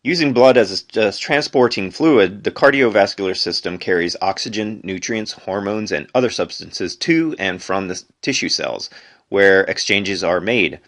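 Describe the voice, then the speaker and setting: Guy with a kinda low voice reading study material out loud, careful phrasing, unedited. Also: lively intonation. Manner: deliberate phrasing, reads headings aloud, stumbles and corrects himself. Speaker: amateur reader of a textbook. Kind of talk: unedited educational read-aloud.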